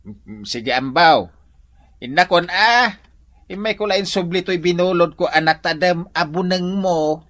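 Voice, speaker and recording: Bright-voiced older Ilocano father-in-law. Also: high voice, unhurried. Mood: pointed, disapproving. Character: older father-in-law, pointed, boastful, disapproving of his son-in-law. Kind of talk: radio drama acting.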